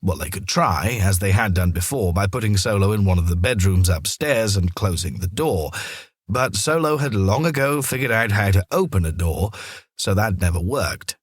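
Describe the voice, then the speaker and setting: Male narrator for storybook fiction: lowish, husky voice, animated melody, dry close sound. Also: normal speed, no room sound. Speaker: storyteller, audiobook reader.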